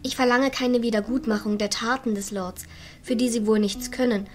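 A woman's voice: on the high side, fairly even intonation, moderate pace, natural voice. A woman playing an earnest, wary fairy sorceress of nature in a scripted fantasy audio drama.

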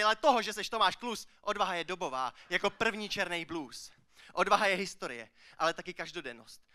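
Male slam poet, high-pitched, sharp bright tone, emphatic rhythmic stage delivery, rhymed verse. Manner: declamatory, emphatic, with rises and hushed line endings. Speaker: slam poetry performer. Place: on stage at a slam poetry final.

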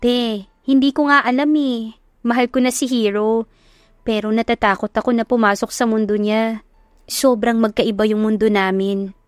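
A woman's voice: on the high side, little pitch movement, normal speed, long pauses, no echo, clean studio sound, lightly acted. A woman voicing a lovestruck, doubtful young woman in an audio romance, confiding in an earnest, worried way. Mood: sad, anxious.

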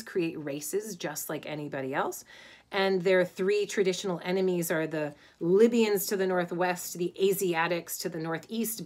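Middle-aged female academic, lower pitch, informal webcam lecture from a home study, searching for words as she goes. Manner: thoughtful, searching for words with many ums and restarts. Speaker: Egyptologist. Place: home study with bookshelves, indoors.

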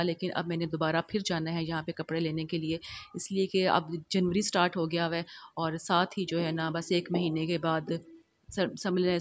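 Flat, low-pitched woman narrating a vlog. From Pakistan. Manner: chatty, homely narration with frequent fillers. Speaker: vlogger.

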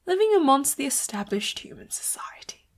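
A woman playing a seductive, secretly murderous leopard-hybrid boss who is tender toward the listener, in an intimate audio roleplay, breathy and often whispering, with a coy, teasing manner. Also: high-pitched, big pitch swings, moderate pace. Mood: menacing, teasing.

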